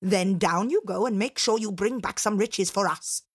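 A woman's voice: mid-range voice, normal speed, husky, natural voice. A woman voicing an envious, greedy mother in a storybook, scolding and giving orders. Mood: greedy, bossy. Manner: scolding, commanding.

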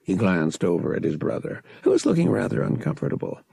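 A man's voice: sits low, wildly swooping pitch, fast, vocal fry, warm tone, slightly rough, no room sound. A man reading an adventure novel as an audiobook narrator, in dramatic scripted narration.

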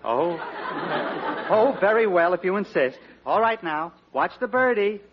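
An actor playing a prissy, gushing yet disdainful photographer in a 1940s radio comedy. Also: male voice, high-pitched, expressive, a little slow. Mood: snippy, disdainful. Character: fussy, effusive, disdainful male portrait photographer. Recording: muffled vintage radio recording.

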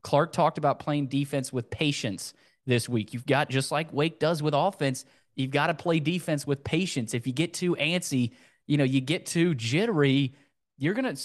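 Higher-voiced man talking football strategy. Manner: repeats phrases for emphasis. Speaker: sports podcast host. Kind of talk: conversational football analysis.

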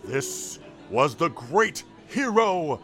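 An actor playing a theatrical tavern storyteller in a fantasy audio drama, grandiose and suspenseful, with drawn-out pauses. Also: male voice, on the high side, big pitch swings, a bit bright, faint music behind, heavily acted. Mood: rousing. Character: theatrical tavern storyteller, a showman spinning heroic tales.

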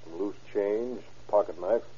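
A man's voice: lowish voice, measured pace, dark tone, husky. An actor playing a laconic, businesslike policeman in an old-time radio crime drama, clipped and matter-of-fact. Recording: muffled old radio recording.

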